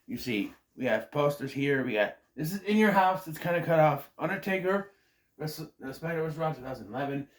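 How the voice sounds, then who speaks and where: A man hosting his own wrestling review vlog, rambling casually while getting over a cold, a bit nasal and clearing his throat. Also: a little fast. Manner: chatty, self-interrupting, lively pitch swings. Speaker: host of a wrestling review channel. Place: his room at home. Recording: echoey room.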